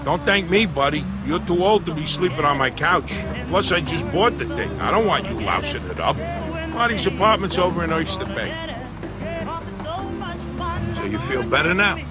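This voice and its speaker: Brash gangster sidekick for a game, lively and wisecracking, higher register. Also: male voice, moderate pace, over loud music. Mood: reassuring. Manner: blunt, wisecracking. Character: brash, upbeat male gangster sidekick and buddy. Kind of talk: video game voice acting.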